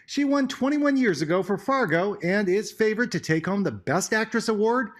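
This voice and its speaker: A man's voice: high-pitched, animated, normal speed. A man narrating a scripted television news report as a correspondent.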